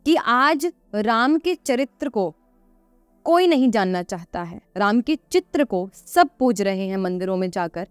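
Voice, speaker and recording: A woman's voice: mid-range voice, animated, a little slow, clean tone, no room sound, soft background music. A woman guest on a podcast, explaining earnestly and conversationally, repeating phrases for emphasis and posing rhetorical questions.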